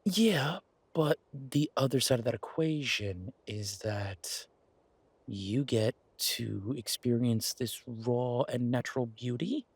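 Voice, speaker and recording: A man playing an affectionate, eager boyfriend in an audio roleplay, talking in a casual, halting, coaxing way with a husky voice. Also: medium pitch, dry recording. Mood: welcoming, amused. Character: affectionate, eager boyfriend pitching grand ideas to his werewolf girlfriend.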